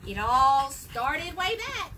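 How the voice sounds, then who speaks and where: A woman voicing a secretive old recluse telling his storybook tale in a drawn-out, dramatic manner. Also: high-pitched, very animated, background noise, heavily acted. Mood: secretive, wistful.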